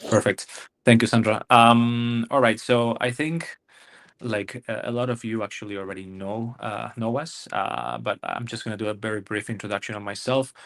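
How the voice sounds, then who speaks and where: A man from Argentina, speaking, presents a webinar in a somewhat low voice. He hesitates often, restarting phrases and filling pauses as he explains. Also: a bit bright. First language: Spanish. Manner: hesitant, many fillers and restarts. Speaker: immigration consultant.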